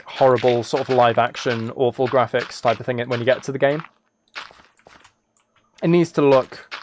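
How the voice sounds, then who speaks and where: A man casually rambling to viewers on a livestream, musing aloud and often restarting his phrases. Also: on the high side. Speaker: live streamer. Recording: background noise with keyboard typing and clicks.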